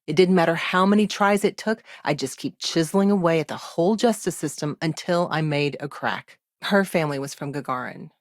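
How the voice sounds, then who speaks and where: An actress voicing a middle-aged, principled and determined lawyer in a video game, with a dry, wry, matter-of-fact way of talking. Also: female voice, low-pitched, no echo. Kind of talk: video game character dialogue.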